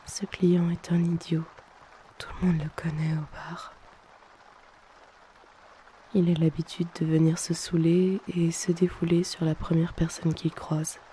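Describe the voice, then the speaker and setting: A woman playing a soothing, motherly hypnotist and colleague in an ASMR audio roleplay, speaking in a hushed, reassuring manner. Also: lowish voice, level tone, a little slow, long pauses, slight background noise, close to an everyday voice. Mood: tender, reassuring. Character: soothing, motherly female hypnotist and bar colleague comforting someone.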